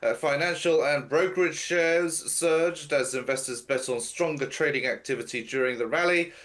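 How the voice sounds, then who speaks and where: A man with a blocked nose briefing market and world news over a video call, steady with frequent fillers. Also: a little high, moderate pace. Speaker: news commentator.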